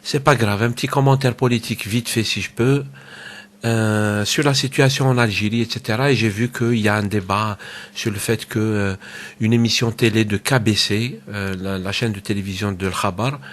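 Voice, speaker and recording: A man's voice: lowish voice, fairly even intonation, moderate pace, fairly bright tone. A man with a cold giving a casual solo political commentary, hesitant, with many fillers and repeated words. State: has a cold. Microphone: home microphone.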